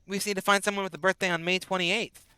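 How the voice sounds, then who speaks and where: A man's voice: high voice, level tone, quick pace, bright, forceful tone, clear, resonant tone, dry recording, rough recording. A man in his twenties casually chatting with his live-stream chat while playing a game, rambling and answering messages, a little sick. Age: 20s. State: a bit sick, on bed rest.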